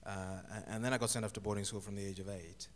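A middle-aged man from Zimbabwe, a guest telling his life story in an interview, with thoughtful, halting speech and many restarts. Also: fairly low pitch, steady tone. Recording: echoey hall with faint noise.

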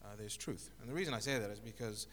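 A middle-aged man from Zimbabwe, a guest telling his life story in an interview, with thoughtful, halting speech and many restarts. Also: on the low side, fairly bright tone. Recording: echoey hall with faint noise.

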